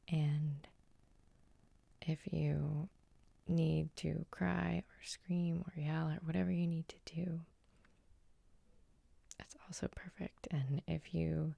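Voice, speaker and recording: A woman hypnotist gently guiding sleep hypnosis in a soothing, unhurried, breathy ASMR whisper, close to the microphone. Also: low-pitched, no room sound. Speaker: hypnotist and host.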